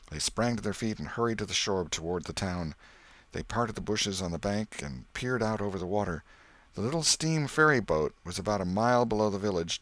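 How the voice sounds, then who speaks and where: A man reading a classic novel as an audiobook narrator, in measured bedtime storytelling style. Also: fairly low pitch, fairly bright tone, no echo.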